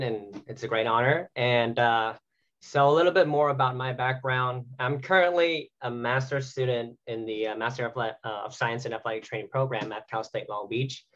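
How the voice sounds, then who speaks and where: A man's voice: medium pitch, fairly bright tone, highs cut off. A young man from Vietnam, a graduate student guest, talking earnestly and at length with many fillers over a video call. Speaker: guest, athletic training student. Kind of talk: casual remote interview.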